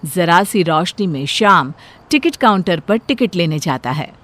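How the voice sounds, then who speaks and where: A woman's voice: fairly low pitch, lively intonation, measured pace, a bit bright. A woman narrating a scripted story in a dramatic storytelling style. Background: background music.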